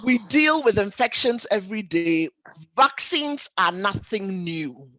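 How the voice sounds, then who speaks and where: A woman's voice: mid-range voice, very animated, measured pace, a bit bright, low-quality recording. A woman doctor presenting a health webinar, explaining things for lay listeners in an emphatic, didactic way, repeating key points and asking rhetorical questions. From Ghana or the Caribbean.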